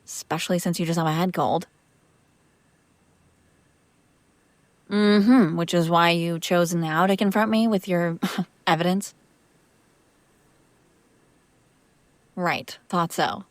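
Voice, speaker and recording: A woman's voice: on the low side, normal speed, no room sound, natural voice. A woman playing a female vampire master, an exasperated but protective caretaker, in a conversational, sardonic audio roleplay. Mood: wry, challenging. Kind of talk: intimate audio roleplay acting, one side of a conversation.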